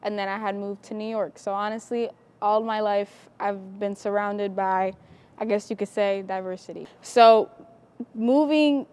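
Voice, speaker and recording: Clear-voiced young woman from the Dominican Republic. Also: medium pitch, fairly even intonation, measured pace, a little mellow. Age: young adult. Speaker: student panelist. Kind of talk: casual panel conversation.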